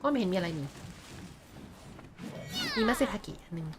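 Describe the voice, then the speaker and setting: Brief: chatty woman game streamer, very quick talk. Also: lowish voice, sing-song, background noise. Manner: chatty, reads along and jokes. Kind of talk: casual game commentary.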